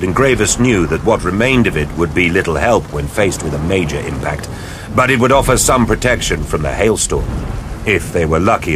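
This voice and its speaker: A man giving grave, measured, dramatic scripted narration for an audio drama. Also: sits low, noisy background.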